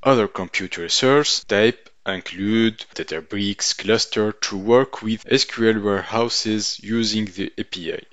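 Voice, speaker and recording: A man giving a tutorial voice-over over slides, halting now and then with ums and uhs. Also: fairly low pitch, fairly bright tone, no echo. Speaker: instructor. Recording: dull lo-fi dry voice-over.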